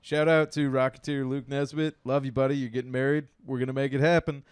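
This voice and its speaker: Smooth-voiced man joking on a podcast. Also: medium pitch, flat delivery, dry recording. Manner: joking, swearing, quick banter. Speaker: podcast co-host. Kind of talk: casual comedy chat.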